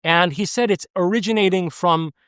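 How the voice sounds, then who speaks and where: Emphatic man guest, higher voice. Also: normal speed, fairly bright tone, clear, resonant tone, dry recording, clean studio sound. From United States. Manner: earnest, emphatic, many restarts and fillers. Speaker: interview guest. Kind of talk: conversational interview.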